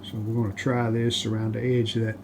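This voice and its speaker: A man casually narrating a hands-on how-to as he works, plain-spoken, unhurried and modest. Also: fairly low pitch. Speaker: restoration hobbyist and video host. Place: home basement workshop. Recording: steady background noise, handling rustle.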